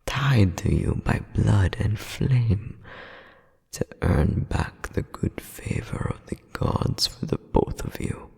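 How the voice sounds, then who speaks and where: A man's voice: on the low side, expressive, slow, warm tone, exaggerated voice. A man playing a cold, domineering demon nobleman scolding as an older brother, with haughty, formal menace in an ASMR audio roleplay. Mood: accusing. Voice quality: breathy, gravelly half-whisper. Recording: long cavernous reverb.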